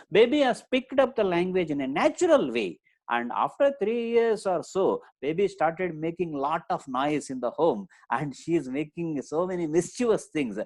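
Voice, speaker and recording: A middle-aged man, a Telugu speaker, teaching a live online class. His pitch swings widely as he repeats each point and fires questions at his listeners. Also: darkish tone. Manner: repeats key points and quizzes the audience. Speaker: communication trainer.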